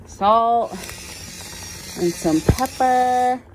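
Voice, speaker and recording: A woman in her thirties chatting casually as she cooks at home and films on her phone, filling pauses with fillers and little apologies. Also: fairly high pitch, noisy background. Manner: chatty, self-narrating, filler-heavy, apologetic. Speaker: home cooking vlogger. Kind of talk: casual cook-along chat. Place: home kitchen, indoors.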